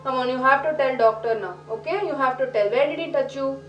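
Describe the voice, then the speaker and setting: Woman acting a pushy, high-voiced Indian doctor. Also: brisk, dull audio, lightly acted. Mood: pushy, coaxing. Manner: coaxing, insistent, patronising. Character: insensitive, pushy woman doctor questioning a child. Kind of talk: dramatic film acting. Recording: roomy, distant, music behind.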